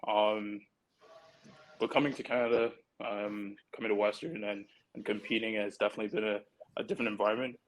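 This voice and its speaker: A man from Barbados, a track athlete on a panel, talking in a measured way as he searches for words. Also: fairly low pitch, steady tone, poor audio. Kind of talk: casual panel talk.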